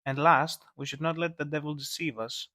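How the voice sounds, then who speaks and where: A man's voice: fairly high pitch, steady tone, moderate pace, sharp tone, no room sound, clean studio sound. A man narrating scripted analysis as a video essayist, with casual asides.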